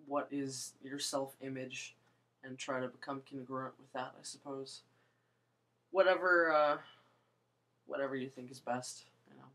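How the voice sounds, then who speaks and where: A young woman with a low voice, rambling hesitantly through a casual video monologue with many fillers and restarts. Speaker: vlogger.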